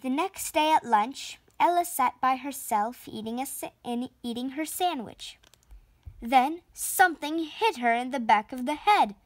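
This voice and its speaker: A woman reading a children's storybook aloud in an expressive, deliberate narrating style. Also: high-pitched, no room sound. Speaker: storybook reader.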